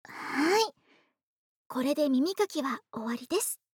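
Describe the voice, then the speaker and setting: An actress playing a gentle, polite, caring neighbor woman in an intimate ASMR voice drama, speaking closely to one listener. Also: sits high, expressive, unhurried, dry recording. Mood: tender, teasing. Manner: polite, soft-spoken formal speech addressed to the listener. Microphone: close ASMR microphone, dry studio.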